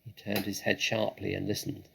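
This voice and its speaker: A man reads a children's story aloud in a dramatic, expressive way. His voice is creaky and a little husky, and he draws phrases out for suspense. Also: lowish voice, moderate pace, poor audio. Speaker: storybook reader.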